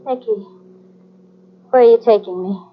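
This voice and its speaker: A woman's voice: mid-range voice, normal speed, soft background music, close to an everyday voice. A woman playing a wary, frightened gangster's wife in an old radio drama, cool and then pleading. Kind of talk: 1940s radio acting. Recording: muffled old radio tape.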